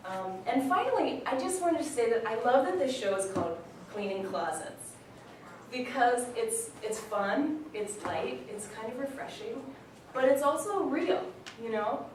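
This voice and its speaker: A woman's voice: on the high side, lively intonation, unhurried. A woman giving an informal welcome speech as a presenter, recorded from far off in an echoey, noisy hall. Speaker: presenter introducing the performance. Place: hall before an audience. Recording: echoey hall, distant mic, clear noise.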